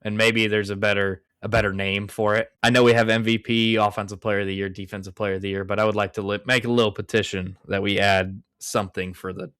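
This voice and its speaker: A man's voice: lowish voice, level tone, fast talker, few pauses, fairly bright tone, smooth, no echo. A young man in his twenties hosting a podcast, talking casually and rambling with lots of fillers. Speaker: podcast host. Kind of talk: casual chat.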